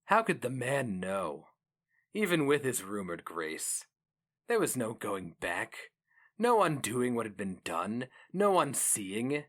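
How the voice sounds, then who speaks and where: A man giving a solemn, theatrical and deliberate dramatic reading of a fantasy story. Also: medium pitch, huge pitch swings, fairly bright tone. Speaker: narrator.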